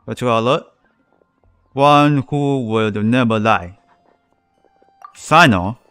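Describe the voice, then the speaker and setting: A man doing casual let's play commentary on a live stream, talking in short, halting phrases. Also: medium pitch, a bit bright, clear, resonant tone, dry recording, soft background music.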